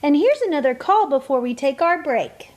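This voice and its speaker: A woman playing a gentle, encouraging mother who introduces callers in a radio play. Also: sits high, sing-song, moderate pace, lo-fi sound. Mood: supportive. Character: supportive, calm mother producing her daughters' podcast.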